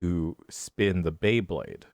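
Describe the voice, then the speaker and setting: A man's voice: low-pitched, slow, a little mellow, no room sound, studio quality. A man co-hosting a podcast, chatting and joking casually. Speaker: podcast co-host. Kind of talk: casual comedic banter.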